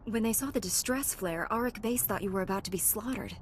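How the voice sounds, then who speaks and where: Casting: actress as self-assured warrior knight for a sci-fi game, calm, blunt, mid-pitched, ringing hall acoustic. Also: female voice, quick pace, natural voice. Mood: composed, blunt. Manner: calm, matter-of-fact, assured. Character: composed, blunt female Jedi knight. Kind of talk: scripted video game cutscene acting. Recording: long reverberant hall, faint background rumble.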